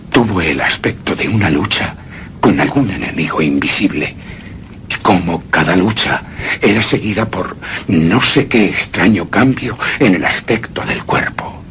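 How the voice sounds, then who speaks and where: An actor playing a terrified, anguished widower in a gothic horror radio drama, speaking theatrically in halting, repeated phrases. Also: male voice, deep voice, slight background noise. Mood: grave dread. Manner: halting, theatrical, repeats words, breaks into hushed gasps. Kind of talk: Spanish-language horror radio drama monologue.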